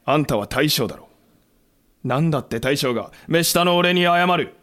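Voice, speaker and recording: A man acting a fiery, blunt, hot-tempered but loyal samurai commander in a historical audio drama, with rough, forceful speech. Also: a little high, lively intonation, moderate pace. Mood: blunt indignation. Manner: rough, forceful speech, bellowed battle orders.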